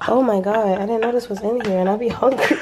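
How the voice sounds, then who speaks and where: A woman's voice: mid-range voice, fairly even intonation, fast. A woman chatting casually to viewers as she gives a tour of a hotel suite and its balcony. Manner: chatty, addresses viewers as y'all. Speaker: travel vlogger co-host. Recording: noisy room ambience.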